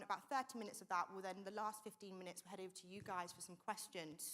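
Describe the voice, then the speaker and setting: A woman's voice: medium pitch, fairly bright tone. A woman hosting a conference panel on stage, rallying the audience with upbeat energy. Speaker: event host and panel moderator.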